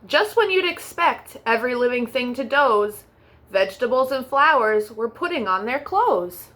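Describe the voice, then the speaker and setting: Woman reading a picture book to kids, high voice, sing-song, expressive storytime host. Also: moderate pace, slight background noise.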